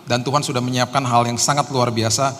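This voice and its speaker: A middle-aged Indonesian pastor giving a sermon with personal testimony, casual and joking, with many hesitant "eh"s. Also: male voice, mid-range voice, little pitch movement, brisk, fairly bright tone. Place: church hall. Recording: long hall reverb, faint ambience.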